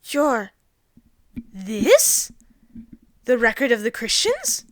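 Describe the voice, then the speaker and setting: A woman voicing a loud, arrogant male alien invader in a story, indignant and excitable, given to bombastic shouting. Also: a little high, very slow, no echo, pitched above the performer's own voice, heavily acted. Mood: shaken, alarmed. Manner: shouting, bombastic, refers to himself in third person.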